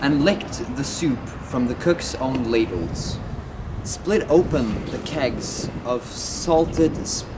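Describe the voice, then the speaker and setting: Slow male reader amid loud background noise. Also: mid-range voice, animated. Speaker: amateur reader. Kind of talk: verse recitation. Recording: noisy location, vehicle sounds.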